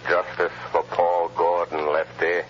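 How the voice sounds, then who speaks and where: An actor playing a mysterious, taunting male vigilante in a 1930s radio drama, speaking slowly with a mocking menace. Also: low voice, completely flat delivery, slight background noise. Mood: menacing. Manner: slow, menacing, mocking laugh. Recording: dull old radio recording.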